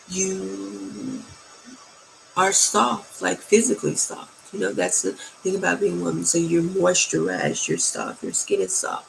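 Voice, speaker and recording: A woman with a naturally low, soft voice, giving dating advice slowly and sultrily and often checking in with "okay?" or "right?". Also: creaky, a little hiss or hum, rough recording. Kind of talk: slow, soft-spoken advice talk.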